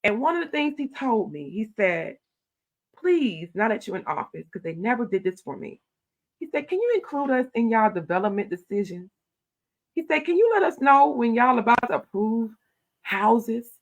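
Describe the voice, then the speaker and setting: A middle-aged woman, a county commissioner telling stories in an animated, loud voice. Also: fairly high pitch, moderate pace. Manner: animated storytelling, acting out her own quoted replies. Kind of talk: casual interview talk.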